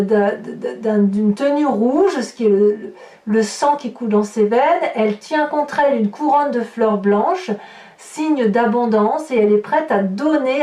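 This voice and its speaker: A woman's voice: on the high side, warm tone. A woman giving an improvised tarot reading to viewers, emphatic, repeating and building on her phrases. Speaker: tarot reader, horoscope host. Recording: roomy echo, distant microphone.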